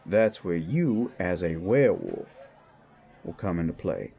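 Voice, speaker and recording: A man playing a laid-back, streetwise werewolf mentor in an audio drama, explaining things in a measured, wry way. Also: deep voice, wildly swooping pitch, creaky, mellow, breathy edge, voice pushed down, heavily acted. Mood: instructive.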